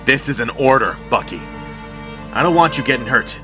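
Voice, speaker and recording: An actor playing an earnest young soldier hero in an audio drama, stammering when flustered and firm when giving orders. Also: male voice, mid-range voice, expressive, normal speed, natural voice. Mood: stern. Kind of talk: cinematic audio drama acting. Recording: music behind the voice.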